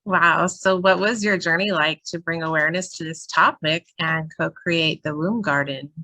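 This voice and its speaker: Bright-voiced woman hosting a podcast. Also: low-pitched, moderate pace, no echo, poor audio. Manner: warm, encouraging interviewer. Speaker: podcast host. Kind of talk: podcast interview.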